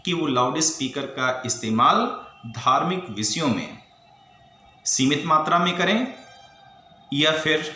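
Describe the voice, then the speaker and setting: A man's voice: fairly high pitch, lively intonation, measured pace, pauses often, bright, forceful tone. An Indian man teaching in an emphatic, didactic lecture style, posing questions and mixing in English legal terms. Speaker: teacher for civil service exam students. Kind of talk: explanatory lecture with rhetorical questions. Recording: echoey room with faint background noise.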